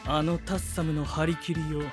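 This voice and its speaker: Male actor for cool young anime drama knight. Logical, cynical, deadpan, formal delivery, music behind. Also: on the high side, brisk, natural voice. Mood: detached. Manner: stiff, analytical, deadpan phrasing. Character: coolly logical, cynical young fantasy knight, scientific observer. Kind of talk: anime audio drama acting.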